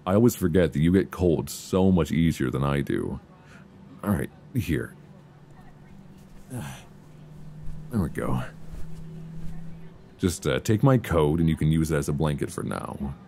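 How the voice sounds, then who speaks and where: A man playing an affectionate, reassuring boyfriend in an intimate, casual ASMR roleplay, speaking softly and soothingly close to a binaural mic. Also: rumbling low, expressive, heavily acted. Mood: gentle, reassuring. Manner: soft, intimate, unhurried, soothing. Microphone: close binaural microphone. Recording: faint background noise, binaural.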